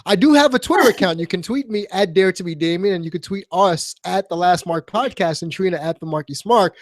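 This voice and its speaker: A man's voice: sits high, brisk, dry recording. Wry American man, very close, dry sound. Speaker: podcast host. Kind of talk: casual chat, teasing and prompting.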